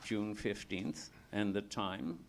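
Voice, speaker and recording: An actor playing a brisk, affable police detective official in a live radio play, formal and businesslike. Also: male voice, on the low side, expressive, creaky, a little hiss or hum, heavily acted. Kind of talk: live old-time radio play acting.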